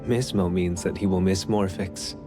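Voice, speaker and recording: A calm, enigmatic anime game man acted by a man with a lowish voice. He explains politely and in measured phrases, over clearly audible music. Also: natural voice. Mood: gentle, composed. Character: calm, courteous, enigmatic man keeping a supernatural pawnshop.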